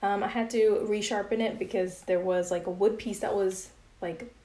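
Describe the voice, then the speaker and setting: A woman's voice: medium pitch, steady tone, normal speed, warm tone, roomy sound. A young woman reviewing beauty products in a casual, chatty way, full of ums and likes, her voice worn from losing it. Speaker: beauty vlogger. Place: indoor room with plain grey wall.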